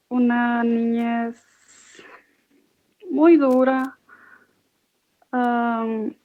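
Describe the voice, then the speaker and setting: A woman from Guatemala calling in by phone to give her personal testimony, speaking slowly and hesitantly. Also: fairly high pitch. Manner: slow, hesitant, flat. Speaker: caller giving testimony. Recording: dull lo-fi phone line.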